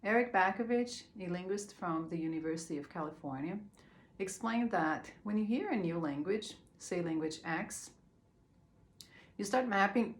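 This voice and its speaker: Brazilian-accented woman, lowish voice. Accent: Brazilian Portuguese. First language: Portuguese. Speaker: speaker. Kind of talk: prepared talk.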